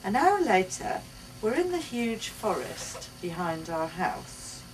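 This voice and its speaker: A woman giving an expressive live reading of a first-person short story. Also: mid-range voice, takes it slowly. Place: indoors at a bookshop book launch. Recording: distant mic, noisy live bookshop event.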